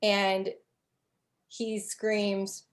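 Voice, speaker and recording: A woman's voice: mid-range voice, monotone, extremely slow. A young adult woman narrating as game master in a casual way, full of "like" and "um" fillers.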